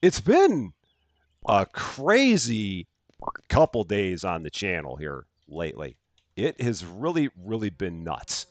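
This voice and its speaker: Lively low-voiced male game streamer. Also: takes it slowly, dry recording. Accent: general American. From Chicago area, USA. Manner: upbeat, greets chat by name, frequent fillers and restarts. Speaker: live-stream gaming host. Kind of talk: casual live-stream chat greeting viewers.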